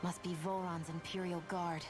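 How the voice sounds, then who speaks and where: A woman voicing a guarded young heroine from a video game, her voice pitched a touch low, speaking softly with halting pauses. Faint game music sits underneath. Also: level tone, close to an everyday voice. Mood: wary. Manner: soft, halting, introspective delivery.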